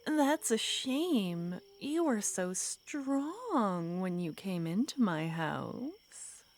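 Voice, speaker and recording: Woman playing a slow, whispery yandere witch. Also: medium pitch, sing-song, heavily acted. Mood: sweetly menacing. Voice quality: soft whispering, breathy. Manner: slow, sweetly menacing, teasing. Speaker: ASMR roleplay performer. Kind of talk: ASMR roleplay.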